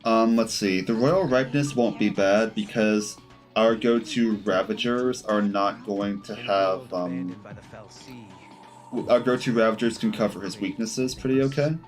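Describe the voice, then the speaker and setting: A man doing casual live game commentary, thinking aloud in a halting, self-correcting way as he talks to his chat. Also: fairly low pitch. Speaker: game streamer. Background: game music.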